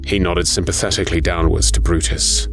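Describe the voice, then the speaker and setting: A man's voice: deep voice, level tone, a little fast, gravelly. A man reading scripted, dramatic narration for an audio story. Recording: music under the voice. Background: music.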